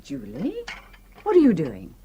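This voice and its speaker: An actress playing a brisk, bossy woman giving orders to her maid and guests in a radio drama. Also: low-pitched, wildly swooping pitch, warm tone, a little hiss or hum, exaggerated voice. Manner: curt, commanding. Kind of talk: 1960s radio drama acting.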